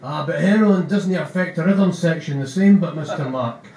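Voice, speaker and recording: A man's voice: a little high, moderate pace, a little mellow, a little hiss or hum, natural voice. A man voicing an eager, chatty young male drummer with a Scottish accent, rambling earnestly. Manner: earnest, rambling rock trivia. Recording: roomy, distant mic.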